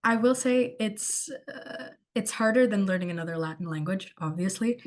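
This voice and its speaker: A woman's voice: mid-range voice, moderate pace. A female student whose first language is Portuguese, answering questions casually on a video call and sharing her own opinions. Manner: relaxed, offers personal opinions.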